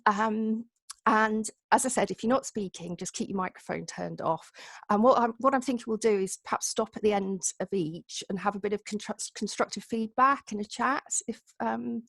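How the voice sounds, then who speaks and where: A woman's voice: medium pitch, vocal fry, no room sound. A woman chairing an online gathering on a video call, chatty and encouraging as she gives an informal welcome. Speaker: meeting host, society chair.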